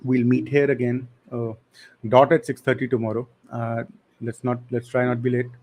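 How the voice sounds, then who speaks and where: Young male instructor, casual live-class delivery, flat intonation, mid pitch. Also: moderate pace. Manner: casual, apologetic, talks to chat with slang. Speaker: online coding instructor.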